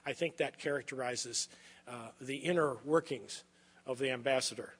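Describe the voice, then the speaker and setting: A man hosting an event, giving an informal introduction of a speaker in a halting, hedging manner. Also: mid-range voice, breathy edge. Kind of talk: informal spoken introduction at a lecture. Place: large indoor lecture hall. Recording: lecture hall, long reverb.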